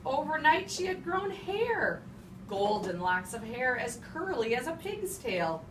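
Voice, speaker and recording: A woman's voice: high voice, very animated, unhurried. Female teacher, interactive storybook read-aloud, questioning manner. Roomy, noisy classroom sound, set back from mic. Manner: questioning, repeats children's answers back. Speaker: teacher reading aloud to young children. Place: classroom with children. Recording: roomy, distant, clearly noisy classroom recording.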